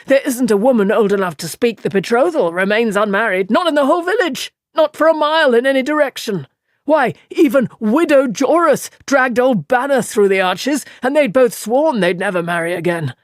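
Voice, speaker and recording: Woman doing a fussy village man, squeaky and loud, rambling, nervous stammer, dry sound. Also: expressive, moderate pace, dry recording, close to an everyday voice. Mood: gossiping. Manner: breathless gossiping, stammering when nervous. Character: flustered, chattering middle-aged village man.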